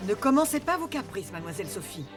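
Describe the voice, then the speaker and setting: A woman's voice: medium pitch, big pitch swings, quick pace, over music, close to an everyday voice. A woman playing a dutiful, fretful nursemaid with a reproachful manner in a French cartoon. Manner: deferential to madame, reproachful to children. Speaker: children's maid. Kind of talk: French animated series dubbing.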